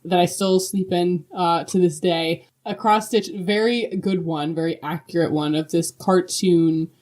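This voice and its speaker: Woman, podcast co-host, mid-pitched voice. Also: steady tone, unhurried, darkish tone. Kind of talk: friendly podcast chat and expressive poem reading.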